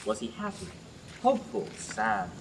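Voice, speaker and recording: A man's voice: high voice, moderate pace, gravelly, recorded from a distance, a little hiss or hum, exaggerated voice, rough recording. A young man playing a dry, sarcastic young prince in a student radio play. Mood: sardonic. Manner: deadpan, sarcastic.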